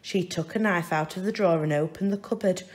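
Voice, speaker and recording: A woman reading a children's story aloud in an expressive, deliberate way, with careful phrasing. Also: on the low side. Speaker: storybook reader. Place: indoors, reading a picture book at a wooden table.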